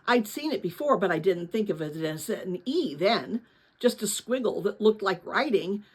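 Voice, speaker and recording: A woman voicing an excited, impatient boy on an adventure in a storybook read-aloud, talking in an urgent rush. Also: medium pitch, normal speed, slightly rough. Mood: excited, breathless.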